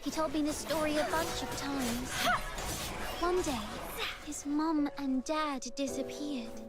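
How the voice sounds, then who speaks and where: A woman's voice: sits high, fairly even intonation, slow pace. A woman voicing an anxious, earnest young schoolgirl in a video game, with a girlish voice. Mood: worried, earnest. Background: background music.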